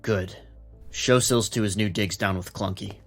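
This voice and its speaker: A low, gruff police captain, acted by a man, shrewd and streetwise. He talks plainly and with command, in a noir audio drama over faint music. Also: steady tone, normal speed. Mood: curt, commanding.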